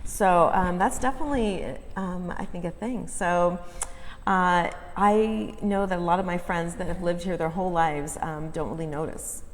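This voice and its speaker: A woman from California with an American accent, hosting a casual interview chat in a halting, gushing way. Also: on the low side, fairly even intonation, a little hiss or hum. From California, United States. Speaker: host. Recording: echoey hall reverb.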